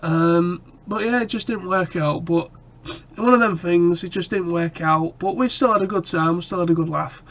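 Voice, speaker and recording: A man's voice: sits high, moderate pace, a bit bright, lo-fi sound. Bunged-up man vlogging. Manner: rambling, lots of ums and restarts. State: stuffy nose and cough from a cold. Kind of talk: casual chat to camera.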